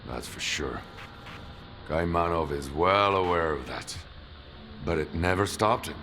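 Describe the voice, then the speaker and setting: A grim, deep-voiced veteran. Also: male voice, expressive, measured pace, pushed and bright, a little hiss or hum, full character voice. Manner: blunt, grave, resolute. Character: hardened veteran male stalker, grim, resolute. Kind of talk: video game cutscene acting.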